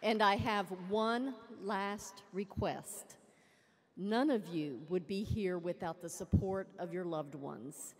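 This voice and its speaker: A woman's voice: mid-range voice, slow. A woman presiding as college president, giving a formal, ceremonial commencement address from a lectern microphone. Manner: ceremonial, proclaiming. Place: large arena at a lectern. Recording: long arena reverb.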